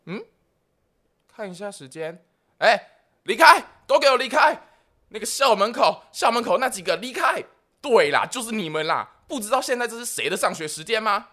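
A man's voice: high-pitched, very animated, moderate pace, pushed and bright, pitched above the performer's own voice, exaggerated voice. A man voicing a bossy, nosy school disciplinary director with a Taiwanese accent in a comic radio play. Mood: curious muttering, then frantic, barking. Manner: barking orders, suspicious, muttering asides.

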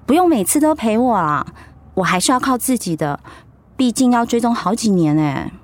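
Actress as high-voiced teasing upbeat Taiwanese wife. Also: female voice, expressive, moderate pace, lightly acted. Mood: reassuring. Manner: playful, reassuring, chiding her husband. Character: upbeat, teasing wife recovering from breast cancer. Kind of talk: Mandarin radio drama acting.

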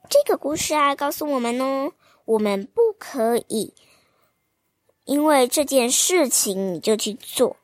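A young woman reading a fairy tale aloud to children in a gentle, sing-song, child-directed manner. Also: on the high side. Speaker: children's storyteller host.

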